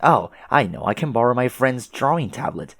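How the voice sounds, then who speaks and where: A man voicing a pushy, excitable female alien troll who taunts in wordy, emphatic phrases for a comic dub. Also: medium pitch, normal speed, vocal fry, no echo, clean studio sound. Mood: eager, insistent. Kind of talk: fan comic dub dialogue.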